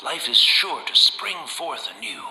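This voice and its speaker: A man voicing a gentle, devoted old blind tea master in a video game, speaking formally and wistfully, serenely resigned. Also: on the high side, big pitch swings, a little slow, roomy sound, heavy background noise. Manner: formal, humble, wistful, rambling.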